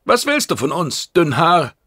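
A haughty alien soldier, played by a male narrator, full of contempt. He sneers and challenges in a raised, gravelly voice, recorded dry for an audiobook. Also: fairly high pitch, wildly swooping pitch, measured pace, fairly bright tone, no room sound, pitched above the performer's own voice, full character voice. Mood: hostile. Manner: sneering, belligerent.

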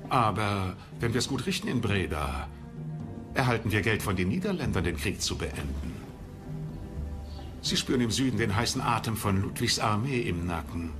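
A man's voice: lowish voice, very animated, moderate pace, over music. An actor playing a scheming, confident English king in a history docudrama, dismissive and calculating. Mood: shrewd. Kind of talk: dramatised history documentary dialogue.

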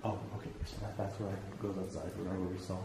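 A man's voice: deep voice, little pitch movement, fast. A young man, talking casually in short, matter-of-fact remarks while exploring a building. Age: young adult. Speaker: urban exploring companion. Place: indoors, empty dark school rooms and hallways. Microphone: picked up by companion's handheld camera. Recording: very noisy, echoey camera audio.

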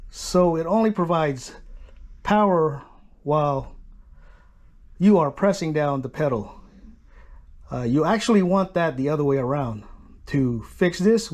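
A man's voice: a little high, darkish tone. A man hosting a home workshop channel, narrating a casual hands-on tutorial. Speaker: host of a DIY machining channel.

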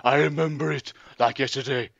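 A man's voice: medium pitch, fairly even intonation, gravelly, a bit bright, no room sound, highs cut off. A man playing a pompous, mock-solemn documentary presenter in an improvised comedy sketch. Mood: solemnly impressed. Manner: grandiose, hesitant, deliberately silly.

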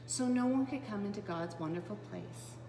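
A woman in her thirties reading a children's Bible story aloud to preschoolers in an animated, dramatic way. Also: medium pitch, moderate pace. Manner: animated and dramatic, with gasps, hushed asides and questions to young listeners. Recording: roomy, echoing space with clear background noise.

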